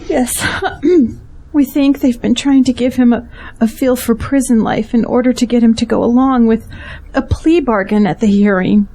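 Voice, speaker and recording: Woman acting anxious pastor's wife, audio drama, highish pitch, very mellow tone, grateful, vulnerable. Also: normal speed. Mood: tearful, anxious. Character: distressed, grateful pastor's wife fearing for her jailed husband.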